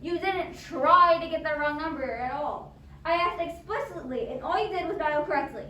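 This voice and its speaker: A woman playing a nervous, imperious, demanding invalid wife on the telephone in a radio play, agitated and halting. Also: sits high, pushed and bright. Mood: exasperated. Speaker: amateur drama club actor. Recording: echoey, distant, noisy amateur recording.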